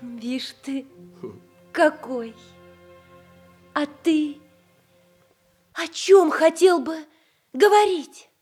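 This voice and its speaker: A woman's voice: sits high, animated, very slow, pauses often, darkish tone, soft background music, full character voice. An actress playing a coy, pouting young princess in love, girlish and teasing, in a theatrical radio play.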